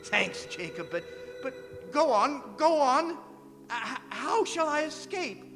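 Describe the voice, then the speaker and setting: A stingy old miser in a theatrical radio play. His voice is extremely high for a man and leaps up and down as he blusters, stammers and pleads. Music plays underneath. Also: big pitch swings, takes it slowly, fairly bright tone, long reverb tail, full character voice. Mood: nervous, wheedling. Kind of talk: stage radio drama acting.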